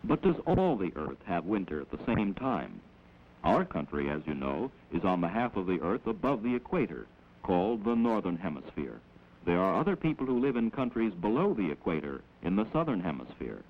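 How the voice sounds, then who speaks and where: A man with an American accent gives measured, instructive scripted narration in the manner of a 1940s educational film, on an old film soundtrack. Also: low voice, very animated. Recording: dull, noisy old 16mm film soundtrack.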